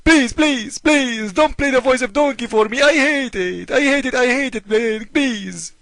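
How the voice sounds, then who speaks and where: Man as satirical deity, high-pitched and whiny, pleading emphatically, dry recording. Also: measured pace, creaky, a bit bright, dry recording, heavily acted. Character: pleading, whining mock deity who hates donkeys. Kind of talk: satirical mimicry.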